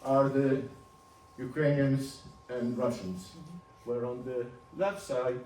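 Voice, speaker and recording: A man in his seventies, a native Ladino speaker from Turkey, giving an informal talk over slides, halting and restarting with small chuckles. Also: medium pitch, little pitch movement, a little mellow. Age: 70s. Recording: roomy, distant, faint hum.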